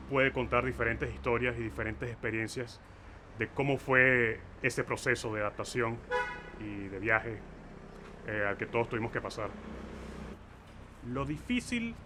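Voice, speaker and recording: A man, telling his family's story as a documentary maker. He thinks aloud in a reflective way, pausing on fillers. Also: mid-range voice, background noise. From Venezuela, Caracas. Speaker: filmmaker and interviewer. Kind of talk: personal documentary narration.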